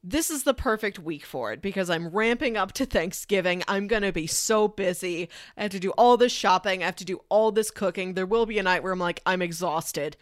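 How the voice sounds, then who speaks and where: A woman chats casually as a podcast co-host. Her voice sits at an ordinary pitch for a woman. The sound is dry, free of room echo. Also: a little fast, few pauses. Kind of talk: casual chat.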